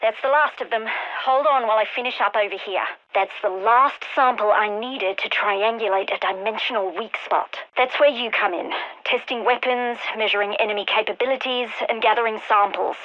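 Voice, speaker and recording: A woman voicing a sharp-witted, driven scientist in a video game, with a wry, intense, articulate delivery. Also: on the high side, moderate pace, muffled recording, close to an everyday voice. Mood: focused, wry. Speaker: game character voice lines. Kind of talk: scripted video game voice acting.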